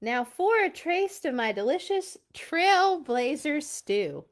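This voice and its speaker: A woman's voice: high-pitched, big pitch swings, slow, voice pushed up, heavily acted. A woman voicing a blustery, boastful papa bear scout guide in a storybook, exclaiming with overconfidence. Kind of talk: animated storybook read-aloud.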